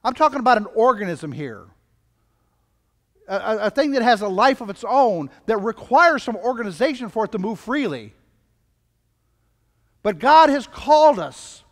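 A man's voice: high voice, big pitch swings, moderate pace, pauses often. An older man preaching a sermon as a pastor, emphatic, repeating phrases and using rhetorical questions, recorded in a church hall. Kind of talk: sermon to a congregation. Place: church hall, indoors at a lectern. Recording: reverberant hall, picked up from a distance.

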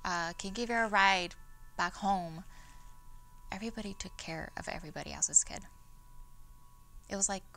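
A woman's voice: fairly low pitch, creaky, a bit bright. A young woman playing an earnest farmworker union activist in a dramatized first-person monologue. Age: young adult. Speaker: actor in oral history dramatization.

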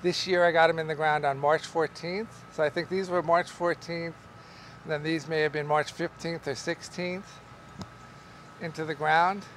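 A middle-aged man, a market farmer, explaining how he grows tomatoes in a relaxed, rambling way with many fillers, recorded in a large greenhouse by a camera some distance away. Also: on the high side, normal speed, slight background noise. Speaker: market farmer teaching growing. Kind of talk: casual on-camera farming lesson. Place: large greenhouse among tall tomato plants. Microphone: camera at a distance, wide shot.

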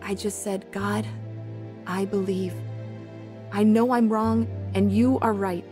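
A woman's voice: medium pitch, fairly even intonation, slow pace, warm tone, close to an everyday voice. An actress playing an earnest young woman teacher who narrates her own faith story in a radio drama. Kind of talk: dramatized first-person testimony narration. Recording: new-age music behind the voice.

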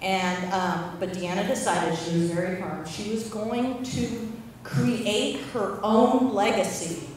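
A woman's voice: mid-range voice, steady tone, takes it slowly, a little mellow. A young woman giving a casual, anecdotal wedding toast as the bride's sister, on a handheld microphone. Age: young adult. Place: large indoor reception room with windows. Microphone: handheld microphone heard from across the room. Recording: echoey hall, distant, clear room noise.

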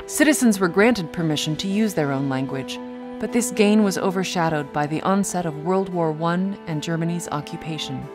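A woman with a lowish voice reads a documentary script in a measured, earnest way. Music plays clearly beneath her. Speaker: documentary narrator.

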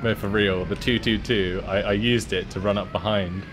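A man's voice: fairly low pitch, fairly even intonation, moderate pace, background noise. A young man chatting casually as a co-player in an online game. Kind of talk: casual gaming chat.

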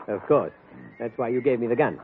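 An actor playing a smug, cold-blooded impostor villain in an old-time radio thriller, taunting with mock politeness. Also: male voice, mid-range voice, animated, normal speed, natural voice. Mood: smug menace. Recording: muffled, noisy old radio recording.

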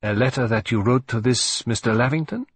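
A man's voice: mid-range voice, brisk, husky, no room sound. A man voicing a fussy, vain older male detective with a put-on French accent, in a precise, self-satisfied manner. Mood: attentive. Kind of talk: audiobook reading.

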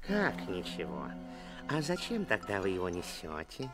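A man playing a pompous, fat court official in an animated film, haughty and scolding. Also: low-pitched, normal speed, loud music behind the voice. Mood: suspicious, officious.

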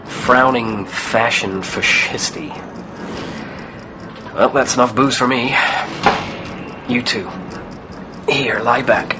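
A man's voice: medium pitch, takes it slowly, long pauses, lightly acted. A man playing a droll priest over noisy ambience. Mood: wry. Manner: droll, gently teasing. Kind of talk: radio play acting. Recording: clearly noisy background ambience.

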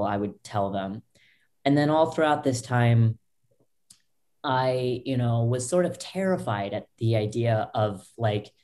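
A young woman with a low voice, a podcast guest telling her own story in loose talk full of fillers and restarts, over a video call from a small bedroom. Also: warm tone. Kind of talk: candid personal story. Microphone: laptop webcam, video call.

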